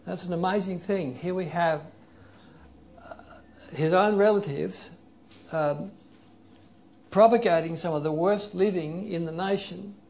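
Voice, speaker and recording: A man preaching a sermon in an earnest, explanatory way, leading a prayer and reading scripture passages aloud slowly. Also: high voice, gravelly, darkish tone.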